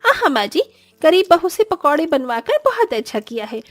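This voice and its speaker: A woman's voice: high voice, big pitch swings, normal speed, dry recording, clean studio sound, soft background music. A woman voicing a pampered, snobbish young rich daughter-in-law with a breezy, condescending way of talking in a cartoon. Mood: smug. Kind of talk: Hindi moral-story cartoon voice acting.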